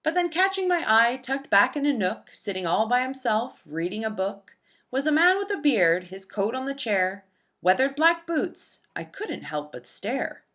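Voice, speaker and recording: A woman narrating a rhyming children's storybook in an expressive, deliberate storytelling manner for young listeners. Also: on the high side. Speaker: children's book read-aloud narrator.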